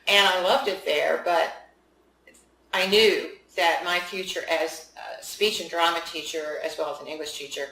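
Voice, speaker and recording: A woman's voice: low-pitched, fairly bright tone. Older woman, chatty storyteller. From West Virginia. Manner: chatty storyteller, laughs often. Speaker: author, interview guest. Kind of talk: casual interview conversation. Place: studio. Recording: roomy studio sound, mic at a distance.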